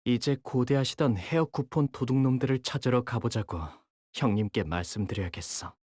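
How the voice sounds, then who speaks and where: An actor voicing a coolly menacing young male gangster underling in a game, measured and sardonic, deferential to his bosses. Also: medium pitch, darkish tone, dry recording, studio quality, close to an everyday voice. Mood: calm, calculating. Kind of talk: Korean game character voice lines.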